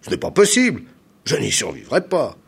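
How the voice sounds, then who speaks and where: A man voicing a haughty, bullying provincial governor in a folk tale, loud, imperious and given to exclamation. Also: on the low side, huge pitch swings, moderate pace, creaky, slightly rough, heavily acted. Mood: pained, horrified.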